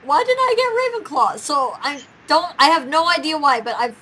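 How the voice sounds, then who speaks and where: A woman's voice: high-pitched, sing-song, moderate pace, sharp tone. A young woman hosting a casual chat, talking in a giggly, rambling way. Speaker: host of a fan gaming and quiz show.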